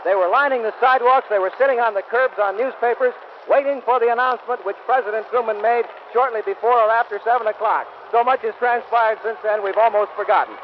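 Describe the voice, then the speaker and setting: Male American live reporter, 1940s commentary, high voice, descriptive flow, dull archival audio with faint noise. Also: fast talker, few pauses. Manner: descriptive, fluent, formal address to listeners. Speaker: radio reporter. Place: outdoors on a hotel balcony. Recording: muffled, faintly noisy 1940s outdoor broadcast.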